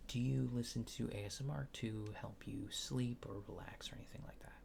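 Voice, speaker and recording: A man doing soft-spoken, partly whispered ASMR, playing a laid-back alien abductor asking casual, hesitant survey questions in a deadpan way. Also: mid-range voice, brisk, creaky, a little hiss or hum. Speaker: ASMR creator.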